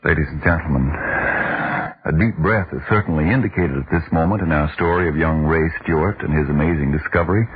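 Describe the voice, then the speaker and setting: A man announcing and pitching a product in scripted 1940s radio style, formal and persuasive. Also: fairly quick, breathy edge. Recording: tinny, noisy 1940s radio recording.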